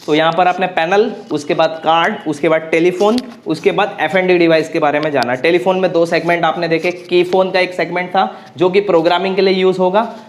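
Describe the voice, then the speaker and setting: A man's voice: sits high, fairly even intonation, a little fast, hardly any pauses, a little hiss or hum. A man explaining as a technical instructor, repeating key phrases for clarity and mixing in English technical terms. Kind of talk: practical tutorial explanation.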